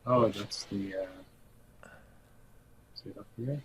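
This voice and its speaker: A man's voice: fairly low pitch, slow pace. A man making short, casual remarks on an online video call. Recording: clear background noise and room ambience.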